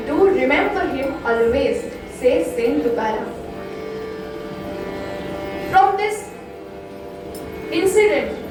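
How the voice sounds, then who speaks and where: A young Indian-accented woman delivers a devotional discourse, her voice extremely high. She declaims like a practised storyteller, repeating phrases for weight. She is heard from a distance in a very reverberant hall, with music clearly present behind her. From Maharashtra, India. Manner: declamatory, rhetorical repetition. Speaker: devotional storyteller, student preacher. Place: echoey hall at a training camp.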